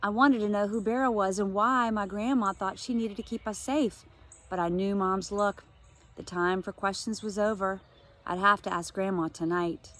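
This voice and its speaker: A woman's voice: mid-range voice, moderate pace. Woman reading a children's novel aloud. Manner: expressive, with asides to listeners.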